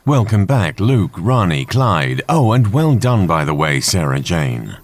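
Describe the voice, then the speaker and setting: A man playing a calm, courteous male supercomputer in an audio drama. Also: deep voice, unhurried, full character voice. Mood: welcoming. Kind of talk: fan audio drama acting.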